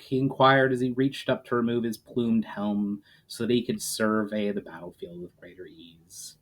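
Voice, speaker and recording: A man who hosts a story session as an author, presenting and reading his own fantasy writing aloud. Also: fairly low pitch. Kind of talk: informal introduction, then dramatic story narration.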